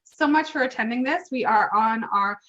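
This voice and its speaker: A woman's voice: on the high side, normal speed, sharp tone. A middle-aged woman hosting an online interview in a chatty, enthusiastic, casual way. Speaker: host interviewing a guest. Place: remote online call. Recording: dull, lo-fi online call audio.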